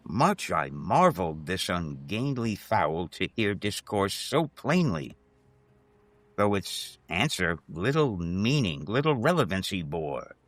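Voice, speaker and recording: A man with a deep voice reads Gothic verse in a theatrical, deliberate way. His pace is slow and measured, and the sound is dry, with hardly any room in it. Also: fairly bright tone, dry recording. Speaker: host reading poetry. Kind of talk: dramatic Gothic poetry reading.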